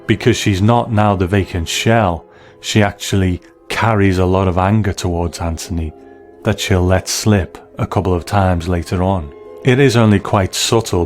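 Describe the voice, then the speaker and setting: A man with a deep voice gives scripted review commentary. He is measured and analytical, with soft music playing behind him.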